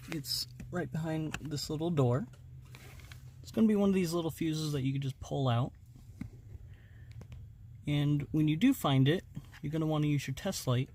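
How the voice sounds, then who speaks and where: A man's voice: fairly high pitch, normal speed, long pauses, darkish tone. Casual man explaining over noticeable noise. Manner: informal, step-by-step explaining. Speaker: host of a DIY installation demonstration. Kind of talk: casual hands-on tutorial narration.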